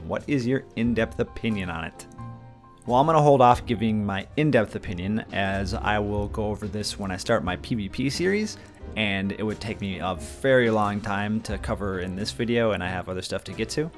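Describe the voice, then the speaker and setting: A man, chatting casually and rambling a bit as he answers viewer questions and covers game news. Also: on the low side. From Minnesota, USA. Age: mid-20s. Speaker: gaming channel host.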